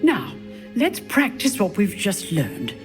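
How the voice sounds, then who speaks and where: A woman's voice: mid-range voice, wildly swooping pitch, takes it slowly. An actress playing a proud, battle-hardened old witch teacher in a video game, lecturing in a dry, commanding, theatrical way. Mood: brisk, challenging. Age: older. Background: background music.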